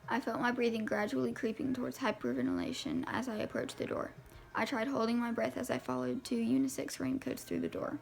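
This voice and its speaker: A woman's voice: on the high side, animated, brisk, creaky, darkish tone, soft background music. A woman reading a first-person novel aloud as an amateur, informally and with stumbles over the words. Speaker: amateur audiobook reader.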